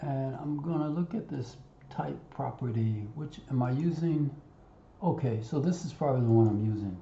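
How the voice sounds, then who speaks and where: Male tutorial instructor, very mellow dark tone, halting think-aloud delivery, roomy muffled recording. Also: medium pitch, gravelly. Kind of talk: unscripted screen-recording tutorial narration. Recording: roomy, muffled, audible background noise.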